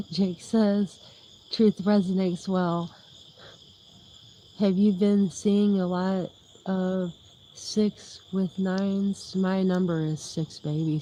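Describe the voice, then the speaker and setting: Soothing, low-pitched woman rambling to viewers. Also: dark tone, slight background noise. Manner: chatty, loses her train of thought, laughs often. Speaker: YouTube livestream host. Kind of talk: rambling casual chat with viewers.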